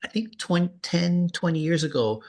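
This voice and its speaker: A man's voice: fairly high pitch, lively intonation, normal speed, a little mellow. A man chatting casually as an interviewer, earnest and rambling, often repeating the same filler tags. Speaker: cartoonist interviewing a peer.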